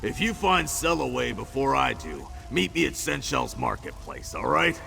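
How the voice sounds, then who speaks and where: Gravelly wry freed prisoner. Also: male voice, medium pitch, very animated, normal speed, pushed and bright, soft background music, full character voice. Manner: dry, joking, gruff. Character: wry freed male prisoner, tough adventurer. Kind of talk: video game dialogue.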